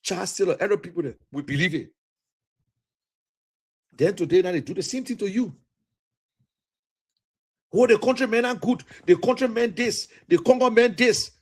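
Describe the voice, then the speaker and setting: A man's voice: sits high, moderate pace, long pauses. A man from Liberia with a Liberian English accent gives an impassioned political talk, emphatic and repetitive, with a preacher-like cadence.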